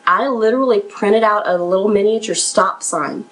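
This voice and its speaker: A woman's voice: mid-range voice, normal speed, rough recording. A woman giving conversational, instructional advice as an interview coach, speaking plainly and directly to listeners in an encouraging, slightly nervous way. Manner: somewhat nervous. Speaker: professional resume writer and interview coach hosting a podcast.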